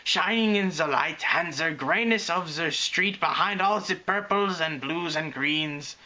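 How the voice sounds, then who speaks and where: A man's voice: on the high side, unhurried, bright, forceful tone, voice pushed up. A man voicing an imperious, flamboyant female pony photographer with a German accent, barking dramatic commands in broken English for a story. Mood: gushing.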